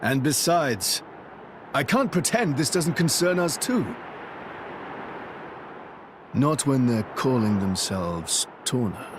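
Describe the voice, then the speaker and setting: A man's voice: medium pitch, expressive, takes it slowly, long pauses, noisy background. An actor playing a wry, theatrical, swaggering young male adventurer in a video game cutscene. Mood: serious.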